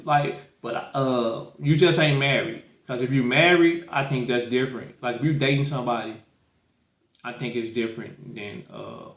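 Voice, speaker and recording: A man's voice: mid-range voice, fairly bright tone. St guy on a podcast, real casual n opinionated, keeps repeating himself, roomy echoey sound. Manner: repetitive, emphatic, colloquial. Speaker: podcast host.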